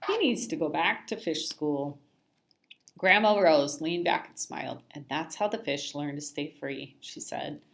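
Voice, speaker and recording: A woman reading a children's picture book aloud in an expressive storytelling style. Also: sits low, a little slow, vocal fry.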